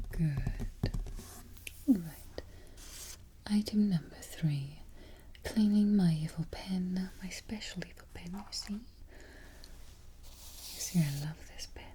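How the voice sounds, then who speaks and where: Woman playing a whispering, unhurried evil queen. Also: lowish voice, noisy background. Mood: composed, exacting. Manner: unhurried, exacting, politely imperious. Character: prim, haughty evil queen instructing her new helper. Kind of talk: soft-spoken whispered ASMR roleplay. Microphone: close ASMR microphone.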